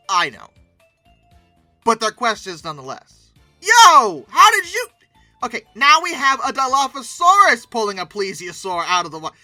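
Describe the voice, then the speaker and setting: Animated, bright, forceful online commentator. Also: mid-range voice, dry recording. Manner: expressive, exclamatory, emphatic. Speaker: host of a paleoart commentary channel. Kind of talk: casual reaction commentary.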